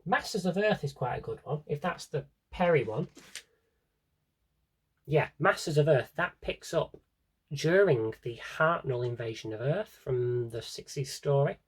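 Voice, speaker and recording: A man with a slightly husky voice rambles casually to viewers as a collector, thinking aloud and interrupting himself in a wry way. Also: a little high, lively intonation, a little slow. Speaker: collector showing his audio drama CDs. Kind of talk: casual rambling vlog chat.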